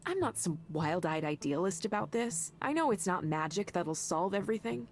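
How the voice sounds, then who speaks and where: A woman's voice: medium pitch, expressive, normal speed, slight background noise, lightly acted. A woman acting an earnest, idealistic tech worker in a visual novel game, speaking in a conversational, impassioned way. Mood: impassioned, pleading. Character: earnest, idealistic woman defending her mental-health tech work. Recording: music behind the voice.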